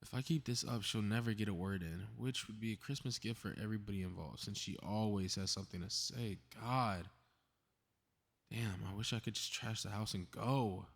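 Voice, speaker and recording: A man plays a cocky young superhero with a fairly low voice. He mutters sarcastic, mischievous asides to himself, casually profane, in the manner of an ASMR roleplay audio drama. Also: normal speed, gravelly. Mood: scheming, amused. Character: cocky, snarky young male superhero playing invisible mischief-maker.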